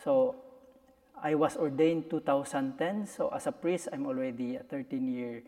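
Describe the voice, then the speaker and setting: A man in his forties with a Filipino accent answers questions as a priest in a relaxed interview. His voice sits at an average pitch. The sound rings with the long reverb of a hall. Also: moderate pace, vocal fry. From Philippines. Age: 40s. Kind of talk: casual interview chat. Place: echoey room in a church.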